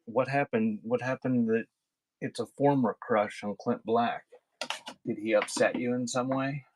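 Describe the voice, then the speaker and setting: A man's voice: mid-range voice, fairly even intonation, a little slow, background noise. A man hosting a casual live sale, reading out titles and chatting off the cuff with viewers. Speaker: online seller hosting a live cassette sale. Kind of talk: casual live-stream chat while reading out album titles.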